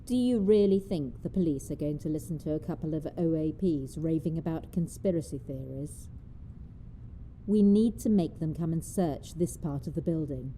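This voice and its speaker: A woman's voice: low voice, normal speed, mellow, slight background noise, lightly acted. An actress playing a feisty, mischievous old woman in an audio drama, her voice muffled. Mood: brisk, determined. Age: older.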